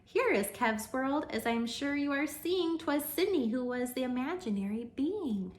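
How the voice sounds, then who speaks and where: A woman teacher reading a rhyming children's storybook aloud with expressive narration. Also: sits high, unhurried, a little hiss or hum. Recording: echoey, roomy sound.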